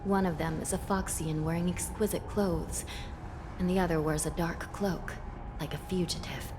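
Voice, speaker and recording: A woman playing a weary, composed young official in a video game, measured and deadpan. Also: on the low side, noisy background, lightly acted. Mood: dry, resigned.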